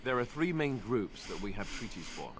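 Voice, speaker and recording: An actor playing an earnest, wry young warrior in a fantasy video game, with a sardonic, conversational way of talking. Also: male voice, on the low side, big pitch swings, normal speed. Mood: thoughtful. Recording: game audio with clear background ambience.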